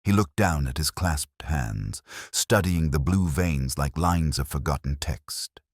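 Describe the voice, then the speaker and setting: Male narrator, bottomless bass pitch, expressive intonation, world-weary inspector, literary first-person mystery narration, measured, brooding. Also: dry recording, studio quality. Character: world-weary, reflective male police inspector recounting a case. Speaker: audio drama narrator. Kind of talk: scripted first-person detective story narration.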